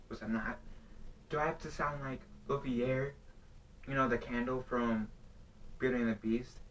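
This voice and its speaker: A young man talking off the cuff into his own recording, halting and earnest as he circles his point, often breaking off and restarting. His voice sits at an ordinary middle pitch. He sounds set back from the microphone, a little distant in the mix. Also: a little hiss or hum. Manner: halting, rambling, earnest questioning. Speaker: vlogger. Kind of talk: unscripted talk to camera. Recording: voice somewhat distant from the mic.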